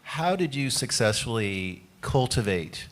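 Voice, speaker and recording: A man's voice: medium pitch, very animated, unhurried. A man from the United States asking a panelist a polite, earnest question from the audience.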